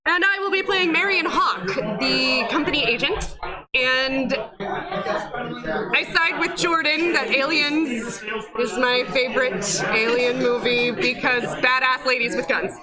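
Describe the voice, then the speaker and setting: A woman's voice: high voice, slow pace, over loud music, poor audio. A woman introducing herself casually as a player at a live game. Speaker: player, actor and voiceover artist.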